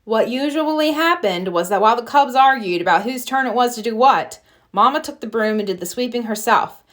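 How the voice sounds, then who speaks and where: A woman narrating a children's storybook aloud in an expressive read-aloud style. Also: fairly high pitch, brisk. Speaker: storybook reader.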